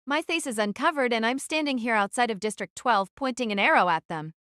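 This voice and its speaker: A woman's voice: fairly high pitch, brisk, a bit bright, no echo, studio quality, close to an everyday voice. A woman playing a guarded, wary teenage girl archer who narrates her own story in an audio drama. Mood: suspicious, guarded.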